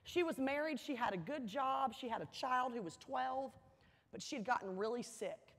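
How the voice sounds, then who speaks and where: A woman with a fairly high voice delivers a motivational speech in a large, reverberant hall. She tells her story earnestly, leaning on key words and pausing for effect. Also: expressive. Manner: earnest, emphatic, dramatic pauses and repetition. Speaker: motivational speaker at a university welcome event. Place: large indoor hall, addressing an audience.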